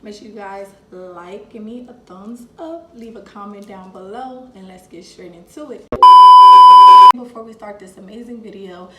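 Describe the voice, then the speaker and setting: A woman's voice: mid-range voice, normal speed. A young woman hosting a casual unboxing review, chatty and talking to viewers as "you guys", getting over a cold. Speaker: vlogger host. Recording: echoey room.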